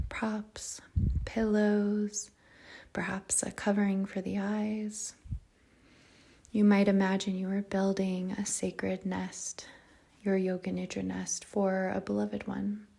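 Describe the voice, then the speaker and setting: Female meditation guide: unhurried and even, soft breathy delivery with a gravelly fry at the edges. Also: mid-range voice. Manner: calm, measured, gentle, with short phrases and long pauses. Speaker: yoga nidra meditation guide. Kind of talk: guided meditation, slow soothing narration.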